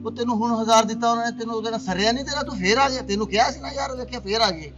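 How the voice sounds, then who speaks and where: High-pitched sceptical friend , acted by a man. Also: racing, muffled recording, music in the background. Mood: distrustful, annoyed. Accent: Pakistani Punjabi. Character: sceptical, distrustful man, the blunt wary friend. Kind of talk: casual amateur drama dialogue.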